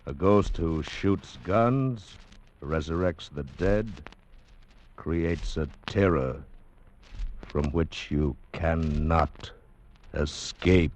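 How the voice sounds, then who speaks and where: Slow, deep-voiced dramatic radio announcer man. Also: a little mellow. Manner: ominous, deliberate. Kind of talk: dramatic 1940s radio narration.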